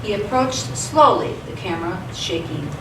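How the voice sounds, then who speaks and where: A woman's voice: medium pitch, animated, moderate pace. Woman narrator in an echoey radio play. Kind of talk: scripted story narration for radio theatre. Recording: echoey stage, distant mic, clear noise.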